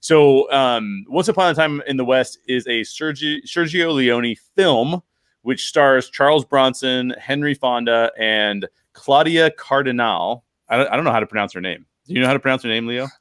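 Middle-aged American podcast host, dry close sound. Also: male voice, mid-range voice, moderate pace, a bit bright, smooth, dry recording. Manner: enthusiastic, informal. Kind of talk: conversational podcast introduction and film discussion. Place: bedroom at home. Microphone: close podcast microphone with headphones.

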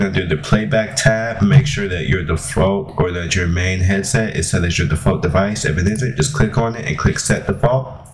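A man hosting a software tutorial, talking informally and step by step in an instructional way. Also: deep voice, background noise. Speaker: tutorial host.

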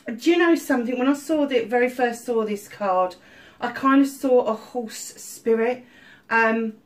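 A middle-aged woman giving a casual tarot reading at home, a little stuffy-nosed, chatty with many ums. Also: on the high side. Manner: chatty, warm-hearted asides with many ums. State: slightly stuffy-nosed from illness. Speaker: tarot reader. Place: home room at a table of crystals.

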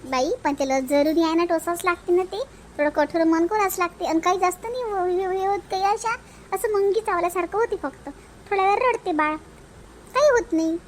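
A woman's voice: extremely high pitch, brisk, slight background noise. A woman voicing a bossy but caring village woman in a family comedy cartoon, emphatic, with a Vidarbha Marathi accent. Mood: reassuring, firm. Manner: chiding, reassuring, emphatic.

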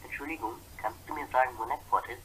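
A man's voice: mid-range voice, quick pace, bright, forceful tone, slight background noise, lightly acted, poor audio. A man playing a chatty, scatterbrained newcomer locomotive, rambling casually and vaguely in a cartoon fan dub. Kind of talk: improvised-sounding cartoon fan dub acting.

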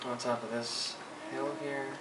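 A man's voice: mid-range voice, level tone, unhurried, over loud music. A young man giving live gameplay commentary, swearing and grumbling as he plays. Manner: profane, frustrated outbursts at the game. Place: indoors at a computer. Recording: echoey room, distant mic, noisy.

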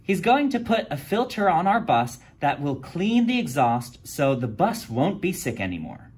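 A man's voice: fairly high pitch, very animated, moderate pace, a little mellow, pitched above the performer's own voice. A man doing a cheerful woman teacher.

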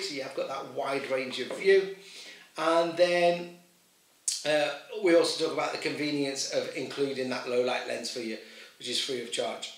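A man's voice: fairly high pitch, fairly bright tone. A middle-aged man selling ski goggles, walking through the product to camera in a chatty, informal way with many fillers. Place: small indoor room with boxes. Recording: echoey room, mic at a distance.

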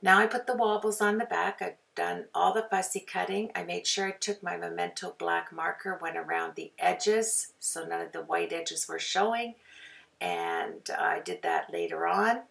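A woman with a raspy voice from a sore throat, narrating a card-making tutorial as a chatty, rambling voice-over. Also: lowish voice, animated, normal speed, fairly bright tone, distant mic. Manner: chatty, rambling, with frequent fillers. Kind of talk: casual voice-over for a craft video.

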